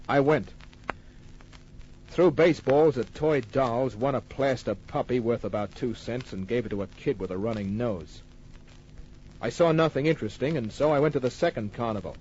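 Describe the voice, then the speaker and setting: A man's voice: medium pitch, natural voice. Wry hardboiled detective played by a man, muffled recording. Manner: clipped, wry, deadpan sentences. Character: tough, wry hardboiled private detective telling his case. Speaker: lead narrator. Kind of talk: old-time radio detective narration. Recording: dull old-time radio recording.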